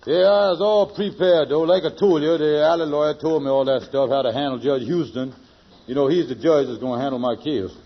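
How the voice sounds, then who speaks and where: High-voiced blustering schemer, old radio sitcom. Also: male voice, a little fast. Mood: scheming. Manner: blustery, folksy nonstandard grammar. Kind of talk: 1940s-50s radio sitcom acting. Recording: muffled vintage radio recording.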